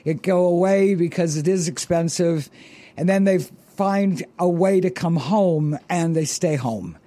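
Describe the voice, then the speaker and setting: A man hosting a radio talk show, bubbly and quick to laugh, stretching words for emphasis. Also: high voice, dark tone. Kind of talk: upbeat radio interview.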